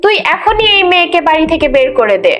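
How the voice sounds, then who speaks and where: Cartoon mother-in-law, played by a woman: extremely high voice, hot-tempered, scolding, scheming, faint music underneath. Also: big pitch swings, fast, muffled recording. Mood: outraged. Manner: scolding, indignant questioning. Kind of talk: Bengali cartoon voice acting.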